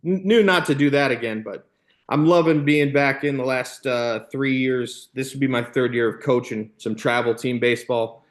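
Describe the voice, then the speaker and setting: An American man in his thirties with a mid-pitched voice, chatting as a podcast guest. He tells stories in a loose, rambling way, with plenty of "you know" and "like" along the way. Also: moderate pace. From Illinois, United States. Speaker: podcast guest, former baseball player and youth coach. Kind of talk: casual anecdotal storytelling.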